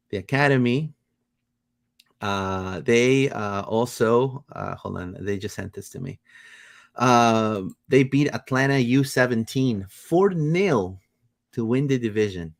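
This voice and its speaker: A man hosting a casual soccer livestream, rambling with many fillers and bursts of excitement. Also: on the low side. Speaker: podcast host.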